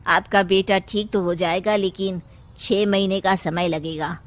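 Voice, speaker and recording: A woman's voice: mid-range voice, fairly even intonation, a little slow, close to an everyday voice. A woman voicing a grave, matter-of-fact village doctor in a cartoon story.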